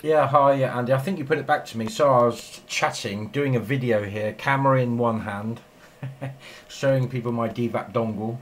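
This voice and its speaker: A man's voice: medium pitch, normal speed. Guy rambling away casually on ham radio in his shack, bit of noise behind him. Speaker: amateur radio operator and video maker. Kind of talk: casual radio chat while filming.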